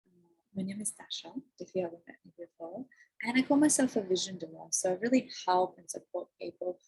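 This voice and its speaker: A woman life coach chatting effusively on a livestream, her voice croaky and hoarse. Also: on the low side, lively intonation, a little fast, darkish tone, rough recording. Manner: bubbly, effusive, lots of "like", coughing. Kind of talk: casual livestream chat. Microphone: phone or laptop livestream.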